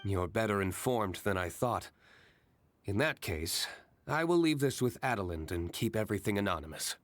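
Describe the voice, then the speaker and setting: An actor playing a reserved, courteous young winery master in a video game, measured and understated. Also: male voice, fairly low pitch, big pitch swings, no room sound. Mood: formal. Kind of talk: video game character voice acting.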